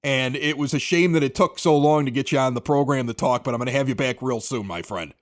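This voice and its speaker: A man's voice: medium pitch, fast. A middle-aged man hosting a sports podcast, talking casually to listeners and guests. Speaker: podcast host. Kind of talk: casual sports talk and scripted ad read.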